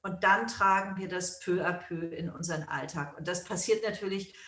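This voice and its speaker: A woman being interviewed as a guest, answering in a calm, measured, reflective way. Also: lowish voice. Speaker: guest, yoga school founder. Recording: roomy and distant from the mic.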